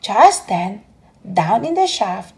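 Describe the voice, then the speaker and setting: A woman reading a children's bedtime story aloud as an expressive storyteller. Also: mid-range voice, slow, creaky.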